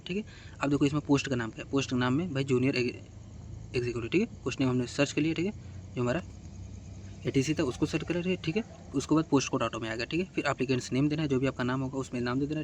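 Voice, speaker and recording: A man's voice: mid-range voice, rapid-fire, a little hiss or hum. A man giving casual, step-by-step instructions as a tutorial host, often adding short check-in tags.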